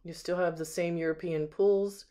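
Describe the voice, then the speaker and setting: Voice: medium pitch, steady tone, moderate pace, dark tone. A home sales agent giving a casual, unscripted walkthrough narration, admiring and describing things item by item, recorded on a handheld camera inside an empty house. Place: indoors, rooms of an empty house.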